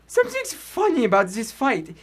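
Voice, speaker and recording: Elderly, nervous boxing manager, very high pitched, meek and hesitant, 1940s radio acting. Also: male voice, huge pitch swings, fairly bright tone, slightly rough, full character voice. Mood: anxious pleading. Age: older. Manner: halting, hesitant, pleading. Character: timid, anxious old immigrant fight manager, pleading and humble.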